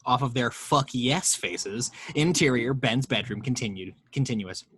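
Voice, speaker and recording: A man's voice: mid-range voice, a little slow, fairly bright tone, slight background noise. A man narrating the action lines of a screenplay table read, with wry, playful asides.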